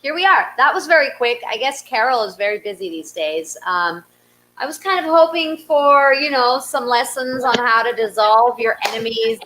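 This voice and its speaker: A woman's voice: fairly high pitch, lively intonation, normal speed, sharp tone, a little hiss or hum, low-quality recording. A woman hosting a casual live online show in a playful, slightly hesitant way. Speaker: host.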